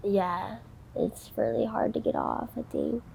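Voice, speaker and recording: A woman of nineteen in a candid interview, speaking softly and haltingly with many ums and likes. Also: medium pitch, huge pitch swings, creaky, husky, poor audio. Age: young adult. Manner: hesitant, many ums and likes, soft-spoken. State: tearful, voice breaking.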